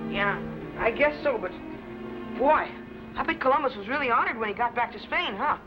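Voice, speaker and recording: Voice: medium pitch, animated, moderate pace, lightly acted. A young performer playing an eager, anxious boy assistant in an old sci-fi adventure drama. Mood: curious, relieved. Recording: muffled old television soundtrack, loud music.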